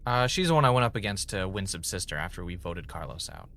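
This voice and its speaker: A man's voice: sits low, animated, quick pace, fairly bright tone, a little hiss or hum. A man acting in an audio drama as an uneasy, skeptical, sleep-deprived guy who stammers and halts when rattled. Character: Ray, sleep-deprived, skeptical, rattled former reality show contestant.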